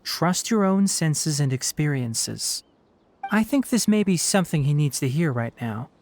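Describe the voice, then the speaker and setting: A man's voice: a little high, big pitch swings, measured pace, slight background noise. Earnest young man, a forest ranger. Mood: earnest, concerned. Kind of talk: scripted video game voice acting. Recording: music behind the voice. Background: faint music.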